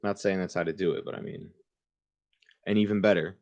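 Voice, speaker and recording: A man's voice: deep voice, fairly even intonation, brisk, vocal fry, a little mellow, muffled recording. A man reading a children's book aloud in an informal way, with casual asides of his own. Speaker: reader hosting a book livestream.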